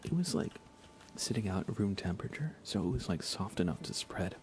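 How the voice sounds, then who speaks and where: Man, lowish pitch, hushed ASMR delivery, unhurried rambling, casual game streamer, close mic, clear background noise. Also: animated. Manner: soft-spoken, often dropping into a whisper. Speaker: livestreamer playing a video game. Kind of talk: casual ASMR livestream chat.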